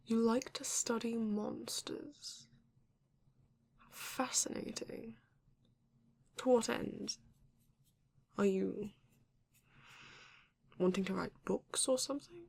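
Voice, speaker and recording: A woman playing a flirty, courteous vampire in an ASMR romance roleplay, breathy and often dropping to a whisper, with genteel, teasing phrasing. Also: medium pitch, exaggerated voice. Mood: intrigued. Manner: slow, genteel, teasing, old-fashioned wording. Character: flirty, courteous vampire living alone in a castle.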